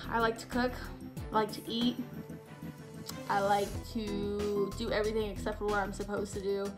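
A woman's voice: medium pitch, flat delivery, moderate pace, over loud music. A woman in her thirties giving a bubbly, rambling self-introduction as a game contestant, recorded on a webcam in her bedroom. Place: bedroom at home.

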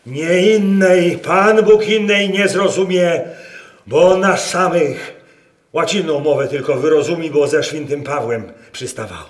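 A devout rustic treasure seeker for a radio play. His speech is folksy and solemn, like prayer, delivered slowly in a fairly high male voice. The barn rings with long reverb over faint noise. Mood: fervent prayer. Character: devout rustic Masurian peasant man, hopeful treasure seeker. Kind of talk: Polish radio drama acting. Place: wooden barn used as radio drama set.

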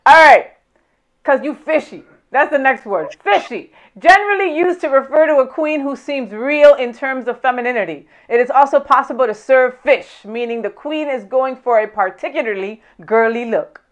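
A woman's voice: high-pitched, lively intonation, normal speed, highs cut off. A woman in her thirties hosting a web show from a small studio set, reading out definitions and giggling with her guest. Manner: upbeat, giggly, reads definitions aloud. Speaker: host. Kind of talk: hosted web show segment.

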